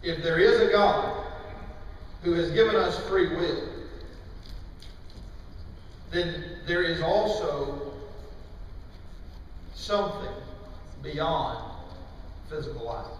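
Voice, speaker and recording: A middle-aged man preaching a sermon in a church, with emphatic, deliberate phrasing broken by pauses. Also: on the high side. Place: large church auditorium, indoors. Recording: distant, very echoey hall with faint noise.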